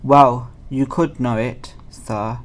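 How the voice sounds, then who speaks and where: A man's voice: mid-range voice, steady tone, slow, lightly acted. A man acting a polite, earnest charity collector in an audio play, speaking formally and courteously. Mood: concerned.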